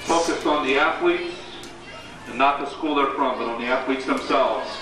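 A man's voice: medium pitch, over music. Distant echoing PA announcer man. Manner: measured, announcing. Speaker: meet announcer. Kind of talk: public address announcements. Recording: distant PA speaker echoing outdoors.

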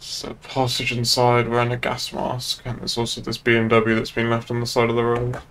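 A man's voice: medium pitch, monotone, brisk, fairly bright tone. A man streaming a police roleplay game, giving casual radio-style updates. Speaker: live streamer playing a police officer. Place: indoors at a gaming setup, streaming. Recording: background noise from game ambience.

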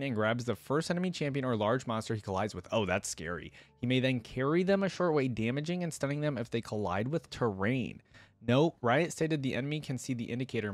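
A man's voice: medium pitch, lively intonation, brisk, no echo. A young man giving casual, informal reaction commentary, thinking aloud and reading bits out at a close desk microphone. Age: young adult. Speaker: reaction video host. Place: indoor gaming setup. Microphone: desk microphone up close, headset on.

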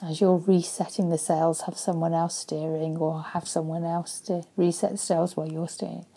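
A woman in her forties, a life coach, speaking earnestly and softly into a webcam in her living room, often dropping to a breathy near-whisper. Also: on the low side, flat delivery, normal speed. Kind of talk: motivational vlog talk.

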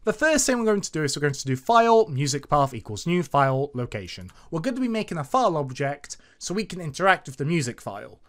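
Man with swooping, animated tutorial delivery. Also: on the high side, fast talker, few pauses. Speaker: tutorial host. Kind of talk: informal step-by-step screencast tutorial narration.

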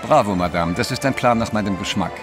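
A man plays a proud, theatrical French newspaperman in a radio play. His voice is on the low side, emphatic and self-assured, with music clearly playing behind it. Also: fast, close to an everyday voice. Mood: spirited. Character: confident, dramatic French journalist, flamboyant and proud. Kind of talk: German audio drama acting.

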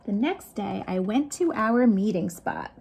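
A woman's voice: mid-range voice, lively intonation, unhurried, creaky, a little mellow, husky, low-quality recording. A middle-aged woman hosting a children's storytime, reading picture books expressively and chatting to young listeners with questions and asides. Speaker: youth librarian hosting storytime. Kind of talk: children's picture book read-aloud.

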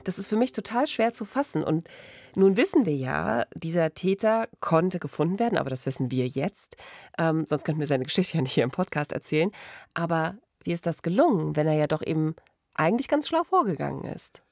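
A woman in her thirties co-hosting a true-crime podcast in a studio, expressive and conversational. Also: low-pitched, a little fast, gravelly, no echo. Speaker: podcast co-host. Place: radio studio. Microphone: studio microphone on boom arm. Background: background music.

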